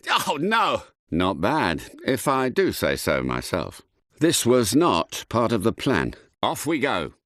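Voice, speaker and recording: A man voicing a suave, confident British spy car in a video game, polite and clipped, with theatrical gentlemanly delivery. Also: mid-range voice, wildly swooping pitch, slow pace, no room sound, studio quality, exaggerated voice. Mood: dismayed, then pleased. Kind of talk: scripted game character catchphrases.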